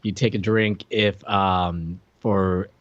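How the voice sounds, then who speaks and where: A man's voice: deep voice, steady tone, slow pace, dry recording. A man co-hosting a podcast in casual review chat, informal, rambling and jokey. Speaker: podcast co-host. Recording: slightly dull, lo-fi sound.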